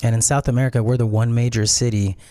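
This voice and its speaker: A man from Peru, a restaurant founder talking casually as an interview guest, pausing often with fillers and restarts. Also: mid-range voice, level tone, dark tone, no echo, studio quality. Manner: hesitant, many fillers and restarts.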